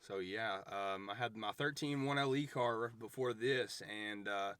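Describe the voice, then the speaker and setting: A man's voice: on the low side, measured pace, fairly bright tone, clear, resonant tone, no echo. A young man chatting casually as a guest inside a car. He talks in a laid-back, hesitant way with lots of fillers, and he is picked up by the camera mic from the driver's side. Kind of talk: casual conversation.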